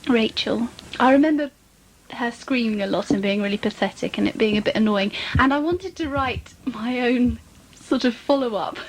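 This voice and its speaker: Female interview guest, thirties, self-deprecating casual chat, slightly high pitch, faintly noisy recording. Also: moderate pace. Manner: hesitant, self-deprecating, amused. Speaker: interviewed actress.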